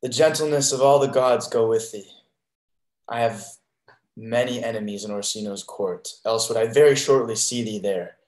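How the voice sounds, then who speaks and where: A man in his twenties playing an earnest, simple gentleman in a Shakespeare reading over a video call. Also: mid-range voice, moderate pace, roomy sound, lightly acted, poor audio. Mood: devoted. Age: 20s. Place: bedroom.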